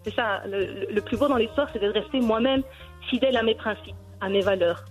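A woman's voice: medium pitch, brisk, faint music behind, rough recording. A woman in her forties from Belgium, a cooking YouTuber answering interview questions earnestly, with many hesitations. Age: 40s.

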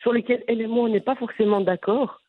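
A woman from Brussels with a Brussels French accent, answering interview questions in an animated, frank way with frequent restarts. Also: on the high side, fast, breathy edge. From Brussels, Belgium. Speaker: content creator guest. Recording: phone-line audio.

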